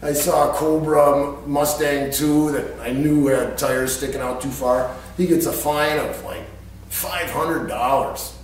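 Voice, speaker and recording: An American man casually telling a personal anecdote in an animated, everyday storytelling way. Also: a little high, a little slow. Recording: echoey room, distant mic, faint hum.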